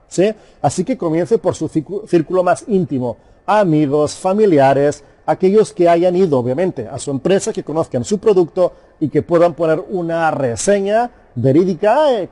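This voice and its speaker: A man explains a lesson to small business owners, urging them on directly and addressing them formally. His voice sits a little high, with a soft, mellow tone. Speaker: course presenter.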